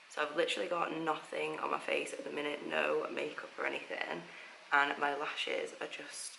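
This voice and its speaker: A young woman chatting casually to camera as a travel vlogger, croaky from just waking up, rambling and giggly. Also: sits low, sharp tone, echoey room, slight background noise, poor audio.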